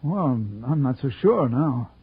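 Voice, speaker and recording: A man's voice: mid-range voice, animated, takes it slowly, slightly rough, full character voice. A man playing an unsure young male suspect in a 1940s radio drama. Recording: dull old radio recording.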